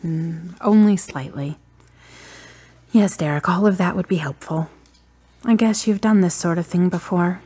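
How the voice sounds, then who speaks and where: A grieving, stubborn daughter in a radio play. Her voice is low and husky, and she speaks deliberately, with dry wit and a guarded edge. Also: warm tone. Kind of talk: radio drama acting.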